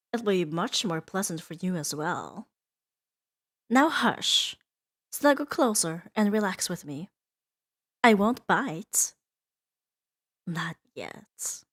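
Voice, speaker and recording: Slow, teasing demon mistress, played by a woman. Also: lowish voice. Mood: tender, hushed. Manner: slow, teasing, soothing, softly dominant. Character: seductive, possessive female demon doting on her new pet. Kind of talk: intimate ASMR roleplay monologue.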